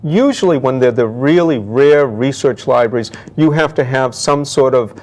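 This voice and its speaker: A man's voice: mid-range voice, moderate pace, a little mellow. A man speaking conversationally as an expert guest in an interview, explaining things with enthusiasm. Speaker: guest antiquarian book expert.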